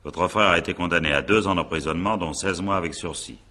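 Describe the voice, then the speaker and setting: An examining magistrate in a radio drama, with a very low, bright and forceful voice. He questions in a brisk, authoritative way, impatient and dryly sardonic, with a husky edge. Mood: impatient, ironic. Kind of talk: French radio drama acting.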